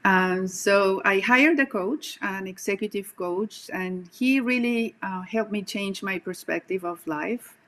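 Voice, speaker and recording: A woman's voice: mid-range voice, fairly bright tone. Colombian-accented woman, reflective and earnest. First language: Spanish. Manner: earnest, reflective, many "um" pauses. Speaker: guest, executive coach. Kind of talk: casual video-call interview. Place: home room with bookshelves. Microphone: video call.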